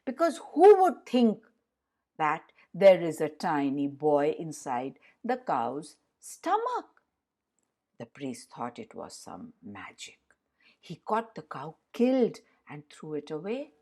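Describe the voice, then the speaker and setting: A woman storyteller narrating a fairy tale for children in an expressive way, addressing her listeners directly. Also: fairly low pitch, husky.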